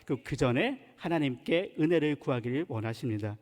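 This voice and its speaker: A man's voice: mid-range voice, lively intonation, moderate pace, a little mellow. A male pastor from Chungcheong with a Chungcheong Korean accent, preaching a sermon in an earnest, emphatic manner. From Chungcheong, South Korea. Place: church sanctuary. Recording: long reverb in church sanctuary.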